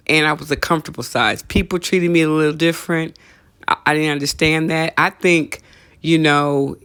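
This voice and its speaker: A woman's voice: low-pitched, creaky, no echo, studio quality. A middle-aged woman hosting a casual comedic talk, conversational and teasing, repeating phrases for emphasis. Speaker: podcast host.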